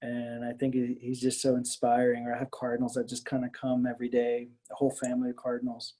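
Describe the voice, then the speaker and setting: A man's voice: medium pitch, completely flat delivery, fast talker, warm tone. A middle-aged American man from the Miami area talking casually as an artist being interviewed, in a hesitant, rambling way with repeated words. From Miami area, Florida, US.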